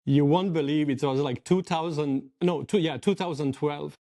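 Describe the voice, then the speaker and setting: A man's voice: fairly high pitch, moderate pace, warm tone, no room sound, studio quality. A man from Serbia who teaches poodle grooming, giving brief, friendly replies as a guest on a video call. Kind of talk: casual video call chat.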